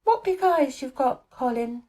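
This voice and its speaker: A woman voicing a bright, eager young girl in a storybook, with an animated, wondering and earnest manner. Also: high-pitched, unhurried, voice pushed up.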